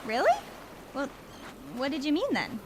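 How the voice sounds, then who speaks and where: An actress playing a breezy, earnest, oblivious young theater crew member in an audio drama. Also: female voice, sits high, very animated, normal speed, background noise. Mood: cheerful, oblivious.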